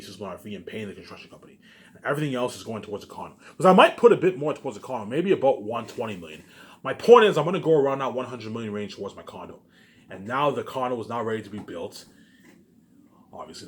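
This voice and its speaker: Man, mid-range voice, rapid pace, casual rambling monologue, repetitive, emphatic, rhetorical tag questions. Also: mid-range voice, fairly bright tone, a little hiss or hum. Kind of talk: rambling casual monologue about personal plans.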